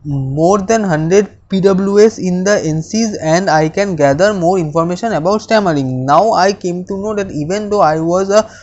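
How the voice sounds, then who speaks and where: A speaker, reading self-affirmations aloud slowly and deliberately, stammering with repeated words and restarts. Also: medium pitch, darkish tone, muffled recording. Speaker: speech practice coach.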